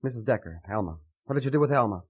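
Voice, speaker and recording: An actor playing an earnest, lovesick young man who speaks in halting, poetic, fervent phrases in a melodramatic radio drama. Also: mid-range voice, animated, slightly rough. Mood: sullen, bitter. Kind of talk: melodramatic old-time radio acting. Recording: muffled vintage radio recording.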